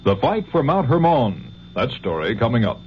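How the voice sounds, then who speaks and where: A man's voice: mid-range voice, sing-song, normal speed. American network newsman on muffled old radio. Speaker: network news anchor. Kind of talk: scripted radio news reading. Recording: dull, hissy 1970s radio recording.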